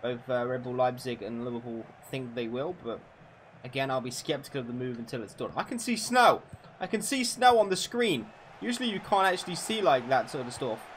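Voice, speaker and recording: A man in his twenties talks casually over a football game stream, his voice sitting in an ordinary middle pitch. He chats freely with viewers and swears often as he goes. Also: lively intonation, a little fast, fairly bright tone, a little hiss or hum. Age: 20s. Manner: chatty, swears freely, answers viewers' questions. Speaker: gaming streamer.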